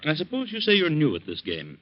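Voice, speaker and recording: Suave French police inspector, probing and sardonic, higher-set animated voice, old-time radio drama, dull recording. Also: male voice, a little fast. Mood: sardonic, accusing.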